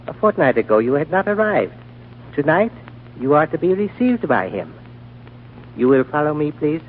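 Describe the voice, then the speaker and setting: A man's voice: fairly high pitch, sing-song, normal speed. A man playing a formal, deferential Chinese lamasery attendant in a 1940s radio drama, ceremonious and precise. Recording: old narrow-band radio recording, faint noise.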